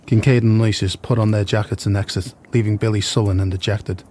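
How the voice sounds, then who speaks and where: A man's voice: lowish voice, fairly even intonation, fairly quick, darkish tone, a little hiss or hum. A man reading scripted stage directions as the narrator of an audio play.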